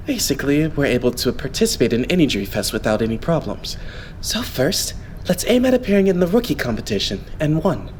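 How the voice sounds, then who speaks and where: A man's voice: medium pitch, slight background noise, natural voice. A man playing a devout, optimistic young male idol in an anime game fan dub, explaining things politely. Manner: polite, explanatory, measured phrasing.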